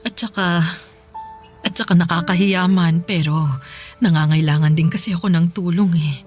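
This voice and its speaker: An actress playing a remorseful, middle-aged Filipino mother in a radio drama, pleading tearfully and haltingly. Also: fairly low pitch, steady tone, darkish tone, faint music behind, close to an everyday voice. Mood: ashamed, pleading. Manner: tearful, halting, repeating herself.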